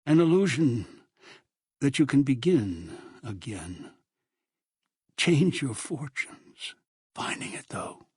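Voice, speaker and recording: An actor voicing a bitter, obsessive old schemer in a video game, with a gravelly voice and an ominous, deliberate delivery. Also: male voice, mid-range voice, sing-song, a little mellow, no echo, clean studio sound, exaggerated voice. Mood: ominous, brooding. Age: older. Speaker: video game villain and narrator. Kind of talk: dramatic video game voice acting.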